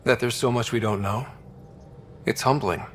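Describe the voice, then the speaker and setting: A man's voice: mid-range voice, normal speed, slight background noise, natural voice. A man playing an earnest, frank space explorer in a video game, speaking directly and conversationally. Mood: sober, sincere. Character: earnest, frank young male space explorer leading a crew. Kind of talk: video game dialogue acting. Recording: music under the voice.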